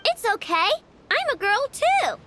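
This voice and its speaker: A woman's voice: very high-pitched, very animated, a little slow, full character voice. A woman playing a confident, curious young girl inventor in a video game. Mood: reassuring. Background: game music.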